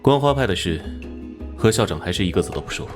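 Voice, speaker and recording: Low-voiced, hot-tempered detective captain. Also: male voice, expressive, fast talker, music in the background. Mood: curious, businesslike. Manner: authoritative, exasperated, blunt. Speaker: actor in an animated crime drama. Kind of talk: animated drama voice acting.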